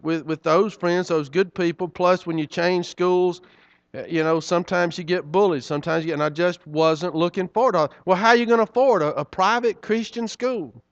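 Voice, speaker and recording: Preacher guy telling stories, folksy, keeps saying right?, highish voice, dry slightly muffled sound. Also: normal speed, smooth, dry recording. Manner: folksy, conversational, frequent "right?" asides. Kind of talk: sermon storytelling.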